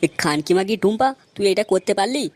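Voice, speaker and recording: Man playing a fast-talking brash cartoon fellow. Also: high-pitched, animated. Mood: furious. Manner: blunt, colloquial. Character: brash village man in a crude comedy cartoon. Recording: music laid under the voice.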